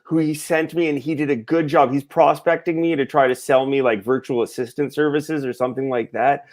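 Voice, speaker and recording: A man's voice: mid-range voice, fairly even intonation, brisk, a little mellow. A man with a mid-range voice co-hosts a loose, friendly panel chat. He rambles a little, restarts his sentences, and pokes fun at himself as he talks. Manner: chatty, self-deprecating, rambling restarts.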